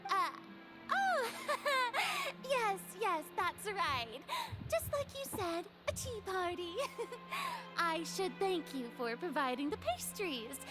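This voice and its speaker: A woman's voice: very high-pitched, huge pitch swings, slow pace, over loud music, heavily acted. An actress voicing a flustered young woman with theatrical regal airs in a video game, nervous and hesitant in her politeness.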